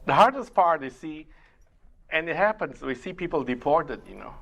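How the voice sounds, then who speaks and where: A middle-aged man whose first language is Mandarin Chinese, working as an immigration attorney and answering interview questions in a measured way, with many pauses to think. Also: a little high, expressive, creaky, a bit bright, muffled recording. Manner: measured, explains step by step with frequent uh pauses. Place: community TV studio.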